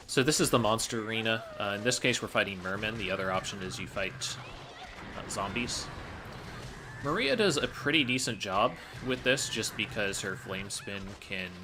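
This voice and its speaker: A man's voice: lowish voice, background noise. A man giving explanatory gameplay commentary as a speedrunner, analytical and matter-of-fact.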